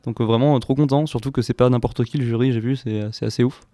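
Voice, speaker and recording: A man's voice: medium pitch, steady tone, quick pace, warm tone, dry recording. A young man in his twenties from France, a band musician chatting casually and playfully in an interview, rambling at times.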